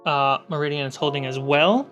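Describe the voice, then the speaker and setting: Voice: mid-range voice, fairly quick. A game master narrating a tabletop roleplaying game, describing scenes piece by piece. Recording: background music under the voice. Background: music.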